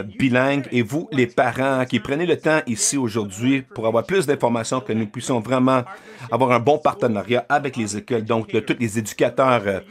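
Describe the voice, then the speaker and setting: Hesitant man interpreting a keynote. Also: mid-range voice. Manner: hesitant, frequent filler sounds and restarts.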